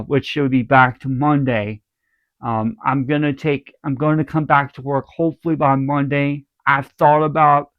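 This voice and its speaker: A man's voice: medium pitch, smooth, no room sound. A congested man giving his channel a casual, rambling update, keeping his voice down and circling back to repeat himself. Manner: speaking softly to spare his throat. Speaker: channel host. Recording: dull lo-fi dry recording.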